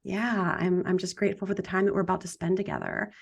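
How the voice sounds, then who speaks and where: Gravelly-voiced woman hosting a podcast. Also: mid-range voice, steady tone, fast. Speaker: podcast host. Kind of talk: scripted introduction and interview.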